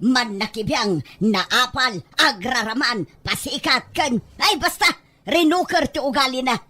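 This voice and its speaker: Female actor as disdainful gossiping mother, middle-aged, audio drama, high-pitched, dry recording. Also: animated, unhurried, bright, forceful tone, no echo, heavily acted. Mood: bitter, disapproving. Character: scornful middle-aged mother gossiping bitterly about a neighbor.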